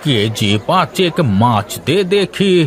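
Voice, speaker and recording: A man's voice: fairly high pitch, very animated, takes it slowly, a bit bright, heavily acted. A man voicing a kindly, homely older village father in a cartoon, with a hearty manner. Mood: homely, businesslike. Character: kindly older village father visiting his married daughter.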